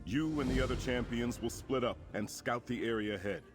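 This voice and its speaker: An actor playing a grave, resolute military leader in a fantasy game, speaking formally and earnestly with a commanding manner. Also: male voice, mid-range voice, a little fast, close to an everyday voice. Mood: commanding, urgent. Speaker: quest-giving game character. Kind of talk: scripted fantasy game dialogue. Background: music.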